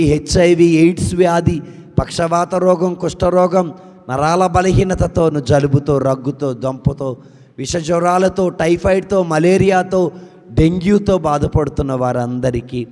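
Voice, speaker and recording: A man's voice: a little high, darkish tone. A man leading an intercessory prayer, reciting long lists in a rising and falling cadence. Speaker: prayer leader. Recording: long hall reverb.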